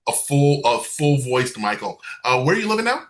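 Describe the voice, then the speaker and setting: A man's voice: medium pitch, sing-song, normal speed. A man hosting an online game night over a video call, chatty, upbeat and self-deprecating. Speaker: game show host.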